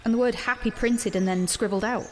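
A woman's voice: fairly high pitch, fairly even intonation, fairly quick, lightly acted, poor audio. An actress playing a guarded, conflicted teenage girl in an audio drama, earnest and defensive. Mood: uneasy. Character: guarded, conflicted teenage girl protagonist narrating her story. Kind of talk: audio drama dialogue and first-person narration. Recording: background noise, outdoor ambience.

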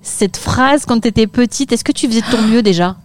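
A woman hosting a podcast, reading a scripted intro and then asking relaxed interview questions. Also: on the high side, fairly quick, dry recording. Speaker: podcast host.

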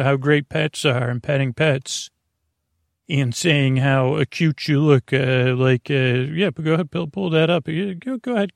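A man hosting a bedtime podcast, rambling and halting as he loses his thread, with gentle, silly deadpan humor. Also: fairly high pitch, vocal fry, no room sound, studio quality. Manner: meandering, halting, often losing his thread. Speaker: podcast host.

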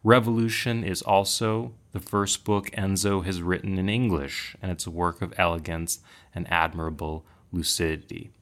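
Low-pitched man, podcast host, formal scripted intro, measured delivery. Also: fairly even intonation, a bit bright.

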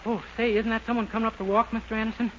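Through noisy, dull old radio sound comes a dim, innocent young American man. He speaks in a high voice, earnest and polite, in sitcom style. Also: level tone, very fast, fairly bright tone. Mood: naive eagerness. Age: young adult. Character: naive, eager, dim-witted young man. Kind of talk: 1940s radio sitcom acting. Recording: muffled, very noisy 1940s radio recording.

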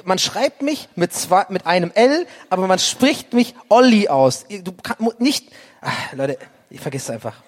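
A man's voice: high-pitched, expressive, moderate pace. A man playing a touchy, exasperated boy detective in a comedy radio play, whiny and pedantic.

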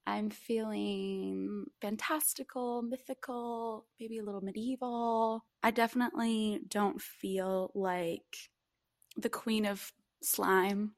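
A woman's voice: mid-range voice, steady tone, slow pace, vocal fry, no echo, studio quality. A woman co-hosting a casual podcast, chatting in a playful, mock-dramatic way. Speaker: podcast co-host.

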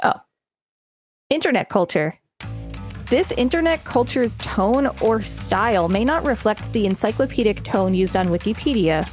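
A woman's voice: medium pitch, lively intonation, normal speed, soft background music. A woman co-hosting a podcast, reading an encyclopedia article aloud. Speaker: podcast co-host and reader.

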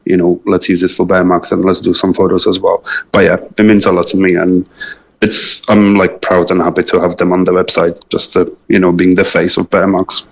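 A man's voice: deep voice, vocal fry, lo-fi sound. A man with a Czech accent, a native Czech speaker, answering casually as an interview guest in a hesitant, modest way. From Czech Republic. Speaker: interview guest, small clothing business founder.

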